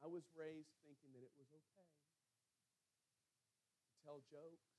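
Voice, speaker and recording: Male preacher, high-set voice, sermon delivery that leans on repetition and weighted pauses for emphasis. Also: fairly even intonation, mellow. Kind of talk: sermon with scripture reading and storytelling.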